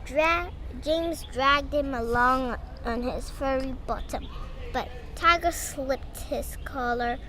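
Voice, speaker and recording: A young girl reading a storybook aloud in a halting way, stumbling over words. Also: high voice, bright, forceful tone, slight background noise. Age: child. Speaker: young reader.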